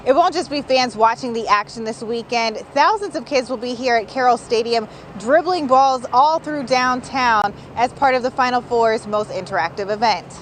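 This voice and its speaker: A woman's voice: fairly high pitch, moderate pace. A woman reporting on location outdoors, delivering a scripted news stand-up. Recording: noisy outdoor location sound.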